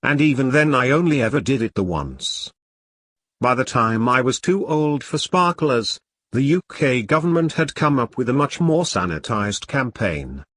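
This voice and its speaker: A man's voice: mid-range voice, very animated, normal speed, a bit bright, dry recording. Dry, sardonic British man reviewing. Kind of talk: scripted review narration.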